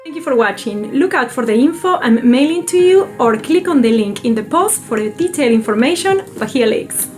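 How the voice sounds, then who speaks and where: A woman's voice: high-pitched, animated, a little fast, few pauses, over music. A woman from Argentina whose first language is Spanish, presenting a scripted real estate video about her neighborhood.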